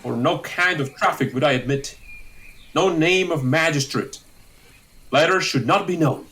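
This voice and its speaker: A man playing a kindly, talkative, dignified old counsellor in a Shakespeare audio drama, with a deliberate, declamatory delivery. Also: on the high side, bright, forceful tone, rough recording. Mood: visionary, expansive.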